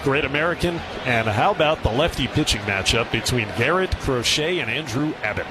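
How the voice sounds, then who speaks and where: A man's voice: medium pitch, expressive, fairly quick, noisy background. A man doing baseball play-by-play on the radio, with clipped, descriptive calls that rise on the action. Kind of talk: radio sports commentary.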